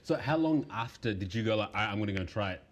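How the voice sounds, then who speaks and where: A man's voice: fairly low pitch, animated, fast talker. A male host asking eager, affirming questions in a casual interview.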